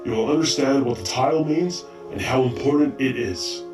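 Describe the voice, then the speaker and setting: A man's voice: medium pitch, normal speed, lightly acted. Haughty commanding medic instructor, male actor, audio drama, roomy recording with faint music behind. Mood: stern, commanding. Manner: stern, commanding, exacting. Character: haughty, drill-sergeant male robot chief medic training recruits. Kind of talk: amateur audio drama acting. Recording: roomy, slightly distant mic, faint music.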